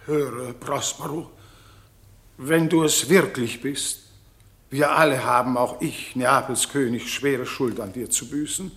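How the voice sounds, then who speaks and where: A man's voice: mid-range voice, fairly even intonation, slow, fairly bright tone. An actor playing a penitent, emotional king and grieving father in a classic radio play, declaiming verse fervently. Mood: sorrowful.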